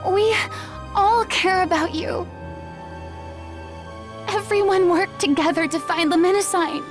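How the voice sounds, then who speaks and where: A loyal, impassioned young girl in a video game story. Her voice is very high and unhurried, earnest and heartfelt as she speaks to a dear friend. Music sits behind the words. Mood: earnest, reassuring. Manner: earnest, emotional, pleading. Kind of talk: dramatic video game cutscene acting. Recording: background music under the voice.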